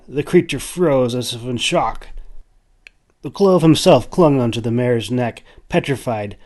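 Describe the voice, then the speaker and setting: A man's voice: mid-range voice, darkish tone. A man reading scripted narration for a fantasy adventure audiobook. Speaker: author narrating his own audiobook.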